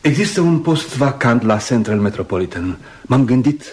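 An actor in a radio play voicing a brisk, bossy friend, chatty, ironic and insistent, on a noisy old recording. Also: male voice, mid-range voice, lively intonation, a little mellow, husky. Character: brisk, teasing, bossy male friend of the doctor. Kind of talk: Romanian radio theatre acting.

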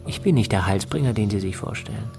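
An actor playing a cautious, principled, self-doubting politician in a radio drama, speaking in a measured, earnest way. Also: male voice, fairly low pitch, vocal fry, music in the background. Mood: wary, earnest.

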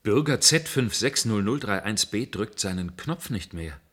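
Obedient operator in echoing room. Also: male voice, fairly low pitch, expressive, a little slow, a bit bright. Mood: cautious. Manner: deferential, reporting. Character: obedient male subordinate control-room operator. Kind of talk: German science-fiction radio drama acting. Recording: roomy, echoing studio sound.

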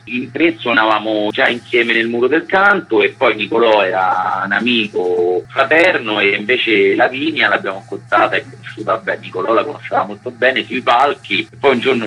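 Deep-voiced Roman man chatting. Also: bright, forceful tone, breathy edge, low-quality recording. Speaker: musician interviewed as band member. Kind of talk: casual interview answers.

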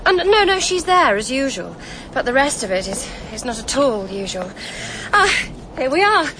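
A woman's voice: high-pitched, sing-song, normal speed, background noise. A woman with a British accent playing an anxious, chattering woman in a radio drama. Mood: eager, anxious. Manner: fluttery, chattering. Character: anxious woman greeting a returning friend.

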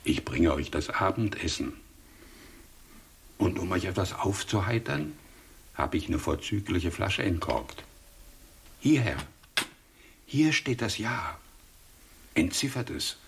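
An actor playing a devoted, humble manservant in a German radio play, speaking in a deferential, earnest, pleading way with a creaky voice. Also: male voice, deep voice, big pitch swings, takes it slowly, pauses often, exaggerated voice. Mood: courteous, coaxing.

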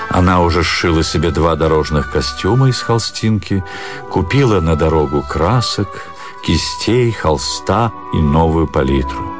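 A man's voice: low-pitched, lively intonation, unhurried. A man narrating a literary audio drama as a scripted reading. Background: music.

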